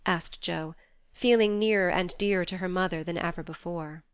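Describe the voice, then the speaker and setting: A woman's voice: fairly low pitch, normal speed, smooth, no room sound. A woman reading scripted narration from a classic novel as an audiobook narrator.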